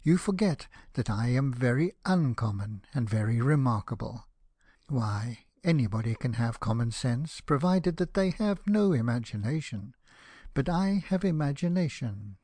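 A man's voice: medium pitch, lively intonation, normal speed, dark tone, dry recording, lightly acted. A man voicing a pompous, self-obsessed firework rocket in a storybook, declaiming with indignation and self-pity. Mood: indignant. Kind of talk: dramatized storybook reading.

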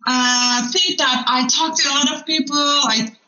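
A woman's voice: sits high, steady tone, measured pace, pushed and bright, echoey room, muffled recording, faint music behind. A woman from Turkey with a Turkish accent, telling her story casually as an interview guest. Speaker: podcast guest, community program manager.

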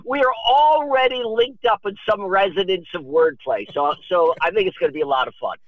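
A man in his fifties talking casually as a podcast guest, telling rambling stories in an excitable, digressive, emphatic manner. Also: sits high, a little fast, no room sound, phone-quality sound. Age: 50s. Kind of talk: animated rambling storytelling and casual chat.

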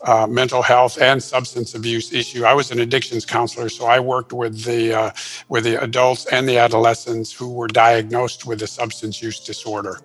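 Guy counselor talking on a podcast, mid pitch, stumbles over words a lot, faint music under him. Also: flat delivery, normal speed, fairly bright tone, rough recording. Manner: frequent "uh" and repeated words, earnest. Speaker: addictions counselor and author. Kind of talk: podcast guest interview.

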